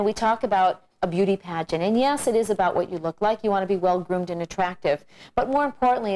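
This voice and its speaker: A middle-aged woman, a pageant coach and judge, giving measured, encouraging advice in an instructional video. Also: on the low side, a little mellow, slight background noise.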